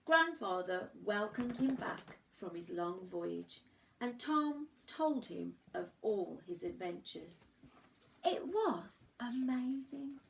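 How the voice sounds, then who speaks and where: A woman reads a picture book to young children in a slow, creaky voice of middling pitch. She narrates like a primary school teacher, leaning on key words and pausing for drama. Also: lively intonation, slight background noise, rough recording. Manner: measured, dramatic emphasis and pauses for young listeners. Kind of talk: expressive children's storybook narration.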